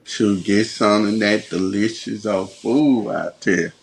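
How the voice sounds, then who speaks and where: A man hosting a podcast, talking in a slow, laid-back way with short reactions. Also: lowish voice, fairly even intonation, creaky, husky. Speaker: podcast host. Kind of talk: casual conversation.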